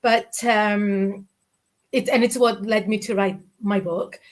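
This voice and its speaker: A woman's voice: medium pitch, steady tone, slow. A woman in her forties with a Cypriot Greek accent, speaking candidly as an interview guest over a video call from her living room, often restarting her sentences. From Cyprus. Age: 40s. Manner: candid, reflective, many restarts and fillers. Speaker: guest, children's author. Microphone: video call webcam.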